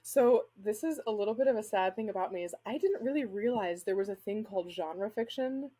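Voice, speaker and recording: A woman's voice: medium pitch, animated, fairly quick, dark tone, husky. A middle-aged woman from Spain chatting casually as an interview guest, talking in an informal, self-deprecating way. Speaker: guest author and literary agent.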